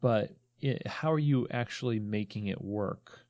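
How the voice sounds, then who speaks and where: A man hosting a conversational interview, reflective, working his way through long, halting questions. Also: fairly low pitch, darkish tone, no room sound, studio quality. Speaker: podcast host, interviewer.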